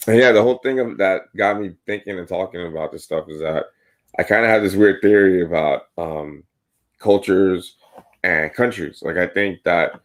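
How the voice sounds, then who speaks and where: A man co-hosting a casual podcast, talking in long rambling turns full of "like" and "right?". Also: deep voice. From United States. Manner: rambling, filler-heavy, says "like" and "right?" often. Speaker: podcast co-host.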